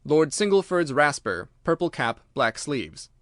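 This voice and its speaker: A man's voice: a little high, sing-song, unhurried, fairly bright tone, no echo, clean studio sound. A man reading scripted literary narration as an audiobook narrator.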